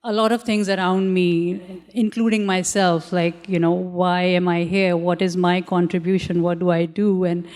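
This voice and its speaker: Reflective woman artist, smooth clear voice. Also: medium pitch, darkish tone. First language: Urdu. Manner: reflective, halting, many fillers and restarts. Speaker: artist on a panel. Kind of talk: panel talk about her art. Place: large auditorium stage.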